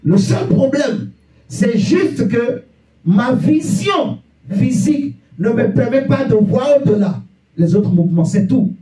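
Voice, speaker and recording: High-pitched male preacher, emphatic and repetitive. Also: animated, slow pace, mellow. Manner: emphatic, repeats phrases for effect; exhorting, puts rhetorical questions to listeners. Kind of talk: sermon. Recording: echoey room, distant mic, faint music.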